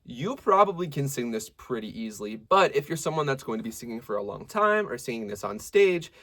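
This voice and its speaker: A male vocal coach with a medium-low speaking voice that still comes across bright and forward. His pitch swings widely as he explains singing technique. He often pauses to demonstrate a line before going back to teaching. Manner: lively, demonstrative teacher who breaks into sung examples.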